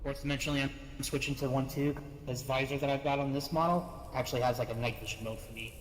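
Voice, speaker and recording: A man's voice: mid-range voice, steady tone, quick pace. Man role-playing in an echoey, reverberant space. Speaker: role-play player. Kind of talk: casual in-character tabletop-style role-play. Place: online VRChat voice session. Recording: echoey reverberant audio with background noise.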